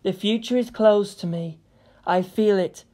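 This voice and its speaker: A woman's voice: mid-range voice, unhurried, dark tone, natural voice. A woman voicing a haughty female alien Farseer figurine in an audio story, imperious and scolding. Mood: solemn.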